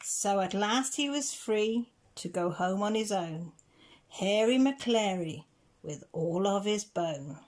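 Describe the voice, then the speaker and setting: Woman, medium pitch, very slow pace, children's storybook reading, deliberate rhyming phrases. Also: expressive, a little mellow. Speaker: reader of children's picture books. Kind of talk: storybook read-aloud.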